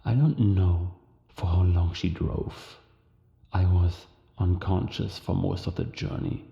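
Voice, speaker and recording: A sullen, embittered figure in a cinematic audio drama. His low, gravelly voice is weary and deliberate, wrapped in echoing reverb. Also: huge pitch swings, mellow, muffled recording, exaggerated voice. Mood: grim, haunted. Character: sullen, brooding, embittered man.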